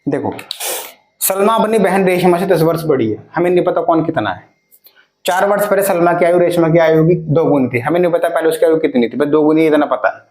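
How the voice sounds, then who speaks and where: A man in his twenties teaching math for an exam, addressing students directly and reading word problems aloud slowly, word by word. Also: a little high, a little mellow, reverberant. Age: 20s. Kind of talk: exam-prep video lesson.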